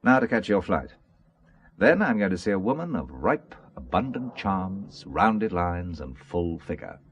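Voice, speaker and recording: A low-voiced, wry spy-drama investigator. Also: male voice, big pitch swings, measured pace. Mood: dryly teasing. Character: shrewd, wry, commanding male investigator on a spy case. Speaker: actor in a radio spy drama. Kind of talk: radio drama acting.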